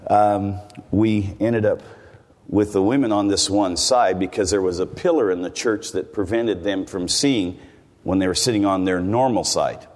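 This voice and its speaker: An older man with an American accent preaches in a relaxed, folksy manner, wandering through side stories and asking the congregation questions. His voice sits very low. It rings out with a long reverb, as in a large church hall. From United States. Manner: folksy, rambling, with asides to the congregation. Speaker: visiting preacher. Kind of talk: sermon.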